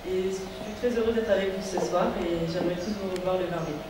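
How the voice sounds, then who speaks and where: A woman's voice: lowish voice, steady tone, fairly quick. A nineteen-year-old woman introducing herself on stage as a pageant contestant. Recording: echoey hall, far, loud noise.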